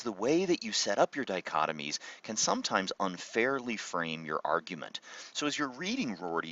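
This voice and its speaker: A man's voice: low voice, bright, forceful tone, muffled recording. A man giving an explanatory lecture to students, posing rhetorical questions and stressing key words. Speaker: university lecturer.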